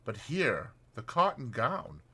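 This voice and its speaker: A man narrating an audiobook as a dramatized story, in a theatrical, expressive manner. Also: medium pitch, slow, husky.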